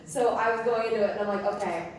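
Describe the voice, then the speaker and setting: A woman in an audience giving casual, animated feedback, recounting her own reactions. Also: mid-range voice, very fast. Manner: animated storytelling, quoting own thoughts. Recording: very echoey room, distant mic.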